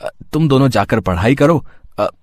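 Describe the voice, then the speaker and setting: A man's voice: mid-range voice, animated, moderate pace, dry recording, studio quality, lightly acted. A man voicing a humble, caring husband and street sweet seller in a cartoon story, earnest in manner. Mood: reassuring.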